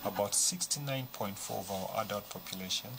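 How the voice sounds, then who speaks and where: A man from a central bank answering interview questions in a formal, measured way. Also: lowish voice, gravelly, background noise.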